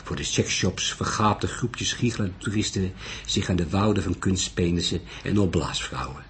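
A man's voice: low voice, moderate pace, a bit bright. A man reading a crime novel as an audiobook narrator, in scripted storytelling style. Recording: faint background noise.

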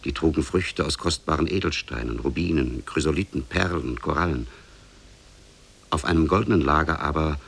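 Male narrator, low husky voice, solemn measured storytelling, vintage radio fairy tale.

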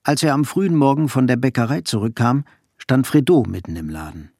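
Creaky-voiced man narrating a radio drama. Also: medium pitch, expressive, a little fast, mellow, dry recording, clean studio sound.

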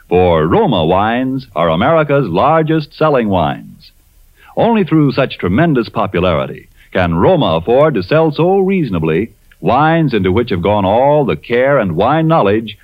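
A man's voice: mid-range voice, no echo. A man reading scripted narration and advertising as a 1940s radio announcer. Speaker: commercial announcer. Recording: dull 1940s radio recording, faint music.

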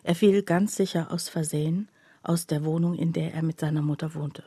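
A woman narrating a literary radio play in the first person, in a measured, hushed, reflective way. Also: low-pitched, steady tone, a little hiss or hum, natural voice.

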